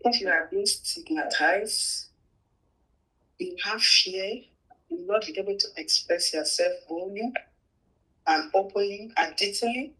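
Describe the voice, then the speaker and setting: Community participant from Sub-Saharan Africa, sharp-edged voice at middling pitch, speaking in an interview. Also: a little slow, pauses often, poor audio. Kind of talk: giving views in an interview.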